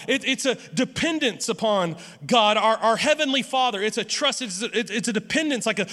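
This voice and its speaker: A male pastor preaching in a church with a high, bright, forceful voice that carries a long hall reverb. He talks loudly and keeps restarting and repeating words, piling on "like" and "right" as he pushes his point. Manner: stammering restarts, animated and repetitive; loud voice. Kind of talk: sermon. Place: church sanctuary.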